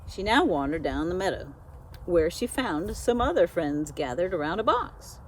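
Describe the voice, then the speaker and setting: A woman reading a children's storybook aloud, expressively and with dramatic pauses. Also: lowish voice, very animated, slight background noise, poor audio. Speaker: school media specialist reading aloud.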